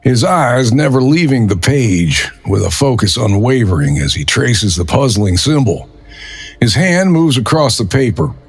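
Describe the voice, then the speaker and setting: A man's voice: mid-range voice, slightly rough. A man narrating a crime drama from a script in a dramatic storytelling style.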